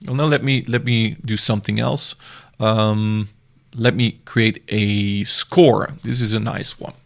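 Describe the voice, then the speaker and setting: A man giving a casual, meandering screen-recorded software demo, often restarting his sentences, speaking into a desktop mic at his computer. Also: fairly low pitch, creaky. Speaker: software reviewer.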